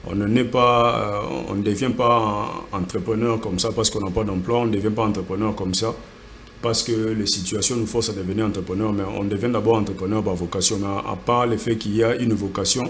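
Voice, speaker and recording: A man from Congo with a fairly low voice speaks as a guest entrepreneur in a TV studio interview. He talks earnestly and often repeats words while he searches for the next one. A faint ambient hum sits behind him.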